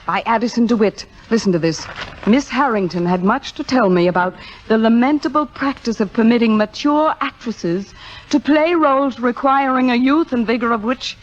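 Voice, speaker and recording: An actress playing a proud, sharp-tongued middle-aged stage star in a theatrical 1950s radio drama style. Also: female voice, fairly high pitch, normal speed, close to an everyday voice. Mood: indignant, bitter. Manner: sardonic, theatrical, cutting. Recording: dull, lo-fi old radio recording.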